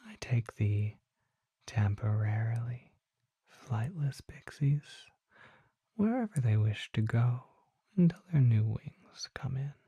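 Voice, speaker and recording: A performer voicing a courteous, mysterious vampire merchant in an ASMR roleplay, speaking in a breathy whisper with formal, polite phrasing. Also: medium pitch, warm tone, no echo, full character voice. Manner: formal, polite, unhurried, old-fashioned phrasing.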